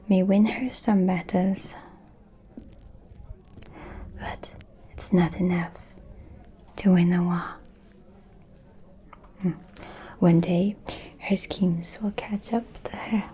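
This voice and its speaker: A woman playing a scheming, seductive aristocratic vampire in an ASMR roleplay, confiding in a soft breathy whisper with insinuating pauses. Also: mid-range voice, a little hiss or hum, close to an everyday voice. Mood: disdainful, knowing. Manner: slow, deliberate, insinuating with pauses.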